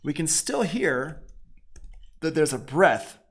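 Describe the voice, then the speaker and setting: A man's voice: fairly high pitch, sing-song, slow pace. Casual man teaching, thinking aloud. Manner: informal, conversational, thinking aloud. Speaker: music production teacher. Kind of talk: casual software tutorial.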